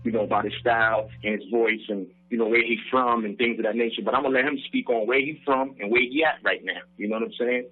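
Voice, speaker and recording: A man with a husky, slightly rough voice speaks to listeners in a relaxed street style, setting up his guest with a lot of enthusiasm. The sound is thin and phone-like, as if heard over a call-in line. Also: mid-range voice, fast talker, hardly any pauses. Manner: hyping up the guest, talks to listeners as "y'all". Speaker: radio co-host interviewer. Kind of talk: casual radio interview.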